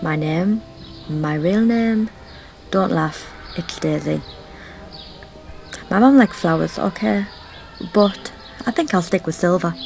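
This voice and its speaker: A woman's voice: lowish voice, expressive, slow pace, creaky, long pauses, a little mellow, soft background music, exaggerated voice. A woman playing a hesitant, earnest young female gun-for-hire in a fan audio drama. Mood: shy, grateful.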